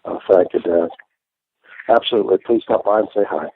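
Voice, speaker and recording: Phone-line American man, lowish voice. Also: brisk, no echo. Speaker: podcast guest, artist. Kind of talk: casual interview by remote call. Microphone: phone or internet call. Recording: thin phone-like call audio.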